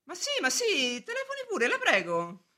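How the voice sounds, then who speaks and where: A woman's voice: high voice, wildly swooping pitch, moderate pace, sharp tone, heavily acted. A woman playing a condescending, evasive police brigadier in a radio play, with an officious, patronising delivery. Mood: smoothly condescending. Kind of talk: radio drama stage acting.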